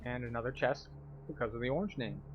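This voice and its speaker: A man's voice: mid-range voice, fast, dull audio. A man giving methodical, instructional commentary as the tour guide of a video game walkthrough. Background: game music.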